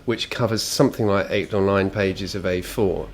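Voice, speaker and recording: A British man talks in a slightly low voice. He comments reflectively and conversationally, as in a radio discussion. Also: moderate pace, a little hiss or hum.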